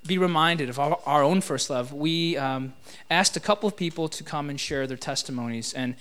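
A man opens a church retreat session and introduces the next speaker, pausing often and restarting his sentences. His voice sits a little higher than most men's. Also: a bit bright, clear, resonant tone. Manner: halting, lots of fillers and restarts. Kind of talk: spoken introduction.

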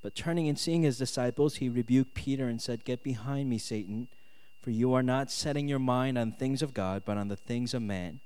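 A man's voice: mid-range voice, moderate pace, a little mellow, clean tone. A man from South Korea who moved to the US as a child, preaching to students in a conversational sermon style, often checking in with his listeners. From South Korea, moved to the US at ten. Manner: conversational, punctuated with "right?" and "okay?". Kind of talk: sermon with scripture reading and personal testimony. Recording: echoey hall with long reverb.

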